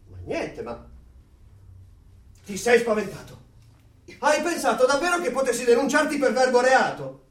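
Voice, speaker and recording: An actor playing a chatty, smug, middle-aged neighbour, a party loyalist who insinuates and gets excitable, in theatrical radio drama style. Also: male voice, very high voice, recorded from a distance. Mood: teasing. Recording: roomy echo, off the microphone.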